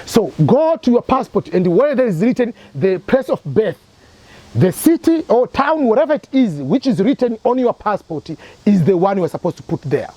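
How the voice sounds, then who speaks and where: A man's voice: sits high, sing-song, normal speed, mellow, no room sound. A man from Tanzania, hosting an advice channel and explaining his points through repeated examples. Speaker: immigration advice channel host.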